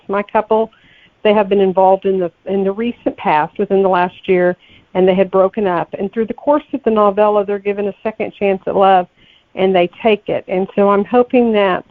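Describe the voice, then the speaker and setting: Female interview guest on a thin phone-quality line; gravelly edge, conversational, thinks aloud as she goes. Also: medium pitch, dry recording. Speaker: podcast guest, novelist. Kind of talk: casual interview chat. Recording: tinny, phone-like, narrow-band line.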